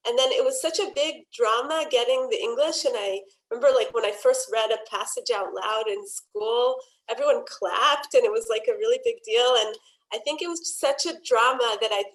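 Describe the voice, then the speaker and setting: Woman from israel, slightly high voice, thinking out loud, lots of ums and likes. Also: rough recording. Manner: thoughtful, hesitant, many fillers. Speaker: poet and translator. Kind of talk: reflective panel conversation.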